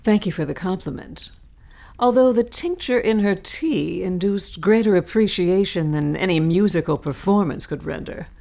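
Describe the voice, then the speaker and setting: A woman's voice: fairly low pitch, moderate pace, vocal fry, a little mellow. An actress playing a scheming, impatient, seductive woman in a period melodrama, with a sly theatrical delivery. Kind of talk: period melodrama audio drama acting.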